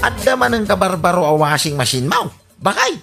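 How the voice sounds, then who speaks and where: A woman's voice: on the low side, very animated, a little fast, creaky, over music. A woman playing a suspicious, exasperated husband in an Ilocano radio comedy drama, speaking with an Ilocano accent and probing insistently. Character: suspicious, exasperated husband questioning his spendthrift wife.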